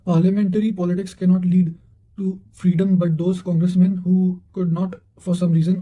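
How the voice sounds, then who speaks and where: A man's voice: high voice, warm tone, poor audio. A man reading quotations and study notes aloud in a formal manner, occasionally stumbling over words.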